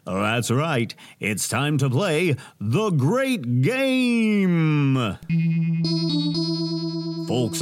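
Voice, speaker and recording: Hammy, wildly expressive radio showman. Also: medium pitch. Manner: melodramatic, grandiose. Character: theatrical, self-important radio host actor, hammy and florid. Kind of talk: audio drama acting, radio showman patter.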